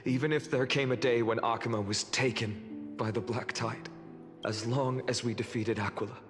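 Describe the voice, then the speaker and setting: An actor playing an earnest young male leader in a video game, speaking in a measured, formal, solemn way. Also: medium pitch, fairly even intonation, close to an everyday voice. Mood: solemn, apologetic. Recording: long reverb, music behind.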